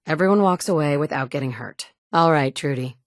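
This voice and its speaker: A woman's voice: low voice, a little fast, dry recording, natural voice. An actress in her thirties playing a tough, wry woman survivor in a video game, talking in a blunt, matter-of-fact way from a studio booth. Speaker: player character. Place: recording booth. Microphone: studio mic.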